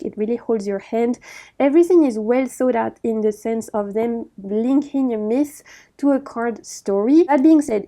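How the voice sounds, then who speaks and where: A woman's voice: fairly high pitch, dark tone. A woman chatting enthusiastically through a tarot deck review, often correcting herself mid-sentence. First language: not Chinese. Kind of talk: casual review walkthrough.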